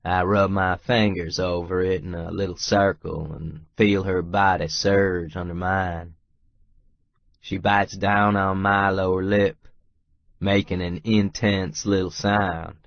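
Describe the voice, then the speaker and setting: A man narrating a first-person romance audiobook in a measured, deliberate way, phrase by phrase. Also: sits low, flat delivery, vocal fry, dry recording, lo-fi sound.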